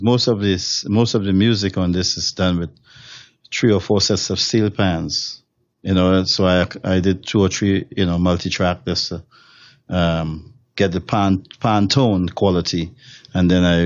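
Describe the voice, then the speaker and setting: Deep-voiced Trinidadian man, rambling. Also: dry recording, dull audio. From Trinidad and Tobago. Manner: rambling, many fillers and restarts. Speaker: steel drum musician, interview guest. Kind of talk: relaxed interview answers. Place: hotel room.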